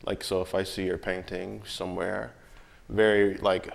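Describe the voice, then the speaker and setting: A man's voice: sits low, little pitch movement, normal speed, gravelly. A man conducting a casual interview, putting probing questions to his guest in a conversational way. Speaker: interviewer and commissioning client.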